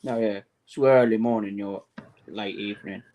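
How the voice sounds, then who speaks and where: A man's voice: on the low side, steady tone, moderate pace, dark tone. A man chatting casually on a live stream in an easygoing, agreeable, offhand way. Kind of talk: casual chat.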